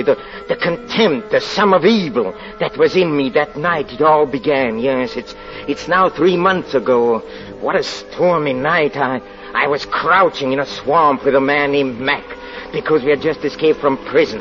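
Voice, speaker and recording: An actor playing a murderous convict who poses as a pastor, halting and theatrical, in old radio drama style. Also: male voice, a little high, lightly acted. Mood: feverish contempt. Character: murderous escaped convict posing as a small-town pastor, bitter, taunting. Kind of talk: 1940s radio drama acting. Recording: dull, lo-fi 1940s radio recording.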